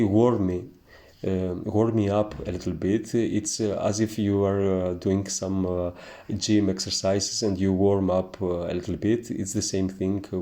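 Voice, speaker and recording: A man with a thick Greek accent teaching painting, talking gently through his steps with many hesitant fillers. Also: sits low. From Greece. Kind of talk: relaxed narrated painting tutorial.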